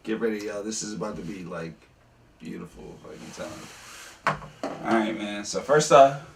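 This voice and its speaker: Man, podcast host, slangy, hyped, lowish voice, noisy background. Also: animated, a little slow. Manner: hyped and slangy, shouts out friends. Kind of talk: casual chat. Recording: distant mic with clear background noise.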